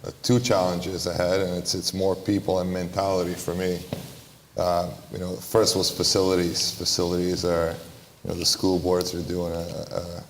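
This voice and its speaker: Low, flat-voiced man in echoey hall. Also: normal speed. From Romania. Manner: earnest, blunt, repeats phrases. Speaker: panelist, basketball entrepreneur. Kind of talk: panel discussion answers. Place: large hall, live panel. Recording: echoey hall, faint room noise.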